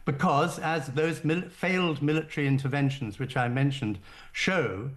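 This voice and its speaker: A man with a fairly high voice argues his case on a political talk show. He speaks deliberately, with frequent stops and restarts mid-sentence. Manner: deliberate, halting, many restarts. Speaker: caller guest. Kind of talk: political argument on air.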